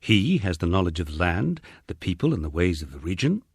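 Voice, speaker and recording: A man with a husky voice reading a wry first-person memoir as scripted audiobook narration, dryly humorous and wordy. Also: deep voice, sing-song, a little fast, no room sound, clean studio sound.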